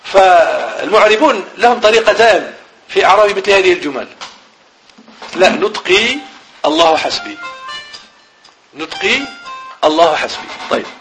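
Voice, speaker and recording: A man's voice: high-pitched, a bit bright, low-quality recording. A man with a Moroccan Arabic accent teaching a grammar lesson. He repeats phrases, asks the class questions and then answers them himself.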